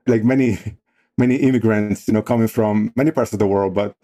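A man's voice: lowish voice, fairly even intonation, fairly quick, dark tone. A man in his forties from the Dominican Republic, speaking with a Dominican Spanish accent, telling his story as a podcast guest with frequent restarts and "you know"s. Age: 40s. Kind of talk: casual storytelling.

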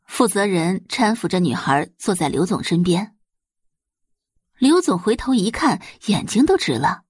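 A woman's voice: mid-range voice, sing-song, a little slow, husky, no echo, clean studio sound. A woman reading scripted, dramatic audiobook narration as the narrator of a story.

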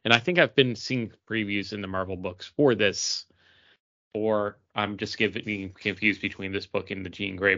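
A man's voice: lowish voice, fairly bright tone, muffled recording. A man talking casually about comics as a podcast co-host.

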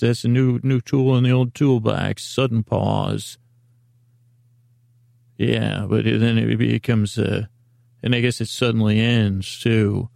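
A man with a noticeably creaky, mid-pitched voice hosts a bedtime podcast in a close, dry recording. He rambles soothingly, pausing and doubling back on his words as he meanders along to lull listeners to sleep. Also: a little mellow, no room sound. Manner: meandering, halting, with pauses and repeated words; soothing, lulling. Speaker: podcast host.

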